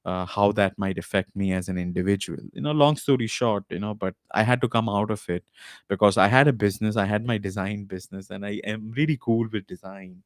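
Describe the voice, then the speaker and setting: A man with a fairly low voice hosts a live podcast stream from a small home setup. He talks in a loose, rambling way, full of "uh"s and restarts. The desk mic sound is dry and close. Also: a little fast, no room sound. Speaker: podcast host. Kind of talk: casual live-stream talk. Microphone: desk mic with podcast recorder.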